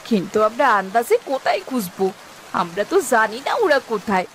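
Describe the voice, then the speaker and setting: A woman's voice: on the high side, sing-song, a little fast, slight background noise. A woman voicing an anxious village wife, the elder sister-in-law in a cartoon story, fretful and lamenting. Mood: despairing.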